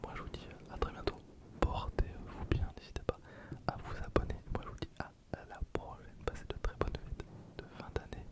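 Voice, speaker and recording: A man whispering casual, breathy ASMR commentary over gameplay in an informal way. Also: moderate pace. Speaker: gaming video creator.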